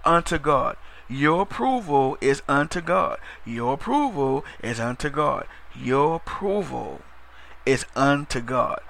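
A man's voice: medium pitch, animated, vocal fry, a bit bright. A man preaching a broadcast sermon, emphatic and repetitive, asking "Amen?" and sometimes stumbling over words or speeding up. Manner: emphatic, repeats phrases, call-and-response "Amen?"; stumbles over words, drifts into fast talk.

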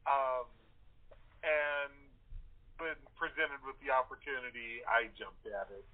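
A man giving a casual interview with a halting delivery and some chuckles, over a tinny telephone line. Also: medium pitch. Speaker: writer and actor, interview guest.

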